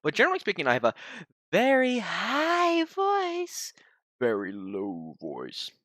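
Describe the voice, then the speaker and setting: Casual guy streamer, trips over words, lively and animated. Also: high voice, a little slow, no room sound, studio quality. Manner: stumbles over words, rambling. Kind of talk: casual end-of-stream chat.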